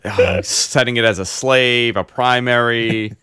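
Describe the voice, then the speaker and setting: A man hosting a casual tech podcast, hoarse and congested, telling long rambling stories full of fillers. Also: medium pitch, a little slow, no room sound, clean studio sound. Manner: rambling, animated storyteller with many fillers. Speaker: host.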